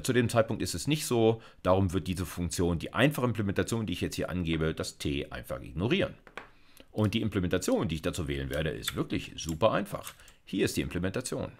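A man in his fifties with a low voice that moves up and down in lively swings. He explains code step by step as a lecturer, informally thinking aloud. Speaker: university computer science lecturer. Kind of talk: explanatory screencast lecture with live coding. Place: indoors, seated at a computer.

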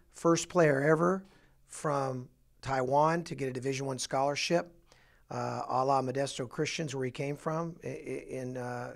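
A middle-aged man, a basketball coach, talking through his roster in an office interview with a handheld mic, halting with fillers and restarts. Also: fairly high pitch, vocal fry, a little mellow. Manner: halting, many fillers and restarts, upbeat emphasis on each name. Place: office, indoors.

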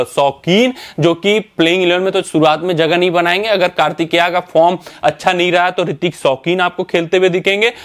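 A young man presenting a cricket analysis to camera in a studio, explaining steadily with fillers and self-corrections. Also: high-pitched. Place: indoor studio in front of a screen.

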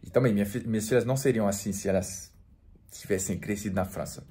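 A man's voice: fairly low pitch, fast talker. French man chatting casually in Portuguese. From France. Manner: relaxed, chatty, with many restarts and fillers. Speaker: French teacher and vlogger. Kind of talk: casual talk to camera.

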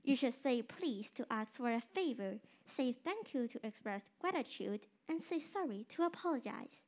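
A young performer voicing a kindly, patient fairy in a school radio drama. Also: female voice, fairly high pitch, lively intonation, normal speed, close to an everyday voice. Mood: instructive. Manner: gentle, instructive. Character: kindly fairy with light blue wings, patient.

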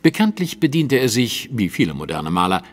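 An actor playing a precise, superior, analytical detective in an audio drama, with a didactic and pointed delivery. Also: male voice, mid-range voice, sing-song, brisk, big-room sound, lightly acted. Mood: puzzled, pointed.

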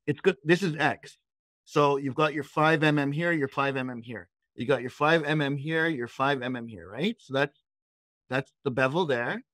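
A middle-aged man walks learners through software in a conversational tutorial style. His voice sits at a medium pitch and is recorded dry, with very little room sound. He explains step by step, posing questions as he goes. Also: no echo. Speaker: trainer leading a live software session. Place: indoors, on a video call.

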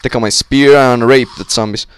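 A young man with a slightly sick voice, giving casual, excitable commentary on a co-op game with friends on a close mic. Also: mid-range voice, moderate pace, smooth, dry recording. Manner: excitable, swearing, joking with friends. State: sick, voice a little off. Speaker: gameplay commentator. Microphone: close-up desk mic.

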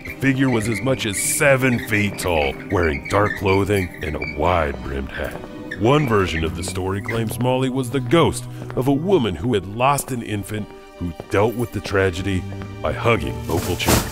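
A man's voice: fairly low pitch, animated, moderate pace. A man hosting with scripted storytelling narration of spooky urban legends. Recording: loud music under the voice. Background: loud music.

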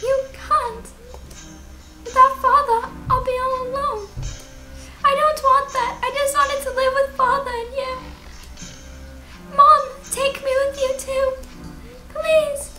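Young girl, very high pitch, slow, childlike, earnest, emotional, horror game, music behind. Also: fairly even intonation, bright, forceful tone, reverberant, full character voice. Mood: pleading. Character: young girl, earnest, pleading, devoted daughter. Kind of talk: dubbing dialogue of a horror game.

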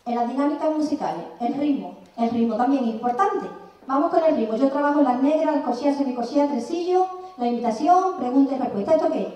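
A woman in her sixties from Andalusia with an Andalusian Spanish accent, giving a lively, chatty talk on music teaching and sometimes singing short examples. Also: high voice, fast talker. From Andalusia, Spain. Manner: lively, chatty, sings examples, asks the audience. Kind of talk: conference presentation. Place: large conservatory auditorium, indoors. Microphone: handheld mic. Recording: very echoey hall, mic far off.

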